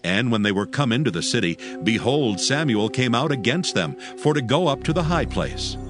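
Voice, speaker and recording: A man's voice: medium pitch, animated, moderate pace. A man narrating a dramatized Bible audiobook in a formal storytelling delivery. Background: background music.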